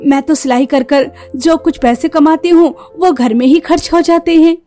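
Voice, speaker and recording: A woman's voice: sits high, normal speed, warm tone, natural voice. A woman voicing a kind, self-sacrificing young tailor sister-in-law in a cartoon, caring and earnest. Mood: earnest, humble. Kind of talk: cartoon story dialogue. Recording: music behind the voice.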